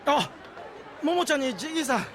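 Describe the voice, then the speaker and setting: Flustered, high-voiced, swooping young underling. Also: male voice, animated, fast, background noise. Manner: stammering, apologetic, flustered. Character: flustered, timid, lovestruck young man, nervous underling. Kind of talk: Japanese anime audio drama acting. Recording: faint street crowd behind.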